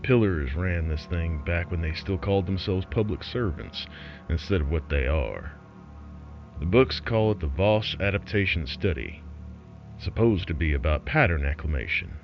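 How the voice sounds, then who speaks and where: A man's voice: sits low, expressive, moderate pace, highs cut off, soft background music. A man playing a wry, folksy underground broadcaster who tells an eerie tale in a sardonic, conspiratorial way. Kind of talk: scripted horror storytelling as a broadcast.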